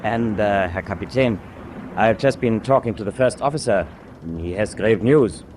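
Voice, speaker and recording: An actor playing a worried, dutiful German chief engineer in a radio drama, speaking formally and respectfully. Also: male voice, lowish voice, moderate pace, background noise, lightly acted. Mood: grave, anxious.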